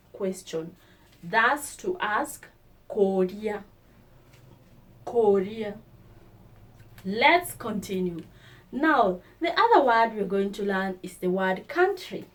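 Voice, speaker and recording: Woman teacher, very slow and careful, repeats every word. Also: mid-range voice. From Kenya. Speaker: language teacher. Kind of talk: slow vocabulary lesson.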